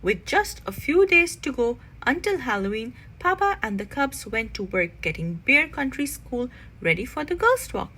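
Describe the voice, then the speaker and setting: A woman narrating a children's storybook expressively, with dramatic, deliberate phrasing. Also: high voice, very animated, pushed and bright, breathy edge, poor audio. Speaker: children's read-aloud narrator.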